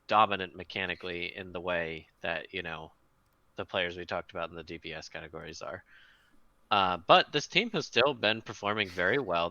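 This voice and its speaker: A man's voice: low voice, bright, forceful tone, no room sound, highs cut off. A man co-hosting an esports podcast, casually talking through his thoughts on teams and players. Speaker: podcast co-host.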